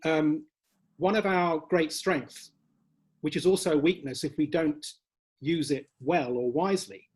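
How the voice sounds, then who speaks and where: A man speaking as a leadership candidate at a hustings, measured and slightly formal in his debating. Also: fairly high pitch, breathy edge. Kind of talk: hustings speech.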